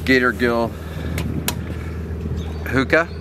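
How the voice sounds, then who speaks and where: A man's voice: mid-range voice, extremely slow, noisy background. A man in his forties vlogging casually about his sailboat, explaining repairs in an easygoing way on deck in a marina. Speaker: sailing vlogger. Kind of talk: casual vlog narration. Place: boat deck in a marina, outdoors.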